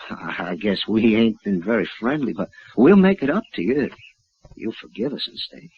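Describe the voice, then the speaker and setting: An actor in a 1950s radio western playing a contrite, plain-spoken frontier townsman with a rough voice. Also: lowish voice, big pitch swings, normal speed, heavily acted. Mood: sheepish, pleading. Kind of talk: 1950s radio drama acting. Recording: dull old radio broadcast.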